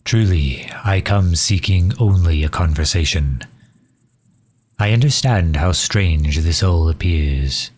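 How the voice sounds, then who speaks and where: A man playing a courteous, weary vampire leader in an audio roleplay, pleading in formal, measured, old-fashioned words with a hushed, breathy voice. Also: low-pitched, heavily acted. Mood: earnest, pleading. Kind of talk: scripted ASMR roleplay acting.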